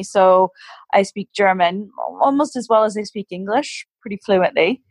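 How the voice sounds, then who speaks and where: A Canadian woman, a guest in an interview, explaining things patiently in casual chat, modest and quick to laugh at herself. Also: mid-range voice, no room sound. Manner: self-deprecating, laughs often, explains patiently.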